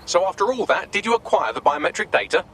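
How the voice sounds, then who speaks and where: Cheeky, sardonic game AI helper: fast, very lively, wry and wordy, over street noise. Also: male voice, high voice, full character voice. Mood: dry sarcasm. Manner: glib, wry, wordy delivery. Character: witty, smug male AI assistant, cheeky and sardonic. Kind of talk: video game voice acting.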